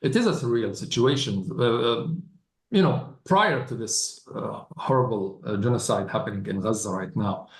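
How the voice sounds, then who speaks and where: A man speaking as a writer on a live panel, deliberate and thoughtful with frequent hesitant pauses. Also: lowish voice, expressive. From Palestinian. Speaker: novelist, festival panelist. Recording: echoey live event room.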